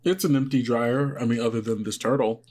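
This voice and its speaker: A man's voice: mid-range voice, steady tone, fairly quick, darkish tone. A man narrating a tabletop game as game master, rambling and filling his sentences with hesitations. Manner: rambling, full of "like" and "uh" fillers.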